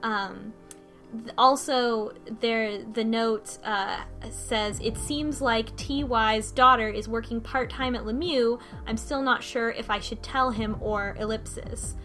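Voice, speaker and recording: Woman with a highish voice chatting thru a game recap, kinda halting, music faint behind her. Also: steady tone, sharp tone. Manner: halting, often restarting mid-thought. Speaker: podcast host recapping a visual novel. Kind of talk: casual group discussion of a game.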